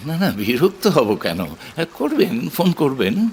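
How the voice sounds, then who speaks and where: A man acting a flustered, introspective poet and editor in an audio drama, hesitant and repeating his words with dramatic pauses. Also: a little high, huge pitch swings, a little mellow, slight background noise, exaggerated voice. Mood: polite, awkward. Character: middle-aged male poet and editor, flustered, smitten, introspective. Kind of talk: Bengali audio drama acting with brooding narration.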